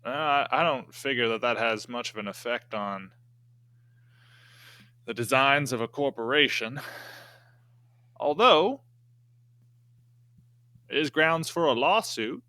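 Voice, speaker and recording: A man speaking in character in a tabletop game, deliberate and hesitant, with folksy old-time phrasing. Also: mid-range voice, lively intonation, sharp tone, no echo.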